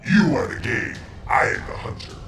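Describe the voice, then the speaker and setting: A man's voice: moderate pace, loud music behind the voice. A man voicing a hostile cyborg ninja for a video game, clipped and menacing, through a metallic robotic filter. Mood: cold menace. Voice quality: gravelly, metallic. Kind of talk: video game character dialogue.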